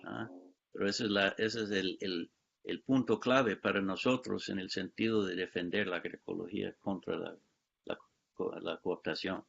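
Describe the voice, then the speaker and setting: A man's voice: low voice, steady tone, moderate pace, gravelly, a bit bright, breathy edge. A man speaking as a panelist in a discussion, hesitant and often checking in with tag questions. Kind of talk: discussion remarks. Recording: dull lo-fi sound.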